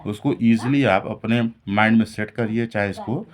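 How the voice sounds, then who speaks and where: A man's voice: on the low side, normal speed. A man explaining a lesson as a teacher, in a didactic way that repeats and rephrases key points. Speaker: English grammar teacher. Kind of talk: classroom-style lesson explanation in Hindi mixed with English terms.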